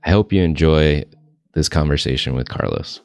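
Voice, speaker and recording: A man hosting a marketing interview podcast, introducing and questioning his guest in a steady, conversational way. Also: very deep voice, normal speed, creaky, dry recording, studio quality. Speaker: podcast host.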